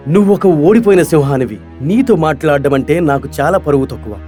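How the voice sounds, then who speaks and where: A man voicing a proud male lion king in an animated fable, speaking in an earnest, declamatory way. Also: fairly high pitch, a little fast, faint music behind, natural voice. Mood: scornful challenge.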